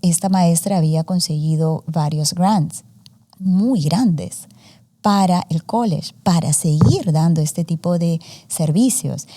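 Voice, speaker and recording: A woman's voice: fairly low pitch, mellow. A woman in her forties, a student journalist, talking through her story in a casual interview with many fillers and English words mixed in. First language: Spanish. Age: 40s.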